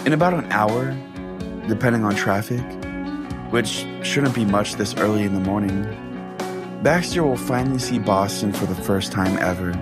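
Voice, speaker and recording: A man's voice: lowish voice, moderate pace. A man narrating a scripted first-person comic story as a podcast host. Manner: profane. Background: guitar music.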